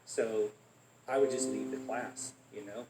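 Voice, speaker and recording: An adult man as guest speaker, answering questions and telling relaxed anecdotes in a conversational Q&A. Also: fairly low pitch, unhurried, over loud music. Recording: echoey room, faint noise.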